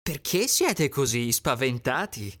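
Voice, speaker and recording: A storyteller voicing a fretful little female duckling in a children's storybook, with high, alarmed questions. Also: very animated, slow, fairly bright tone. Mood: worried.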